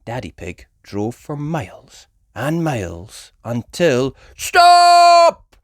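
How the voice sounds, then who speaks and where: A woman reading a children's story aloud in an animated storytelling style. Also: very deep voice, slow, dry recording, studio quality.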